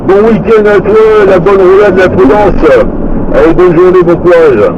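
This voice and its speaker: A man's voice: sits high, moderate pace. A man chatting casually over CB radio from a vehicle, rambling and repeating his phrases. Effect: CB radio transmission. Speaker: CB radio operator, driver. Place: inside a moving vehicle. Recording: dull lo-fi radio, loud vehicle noise. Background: loud car noise.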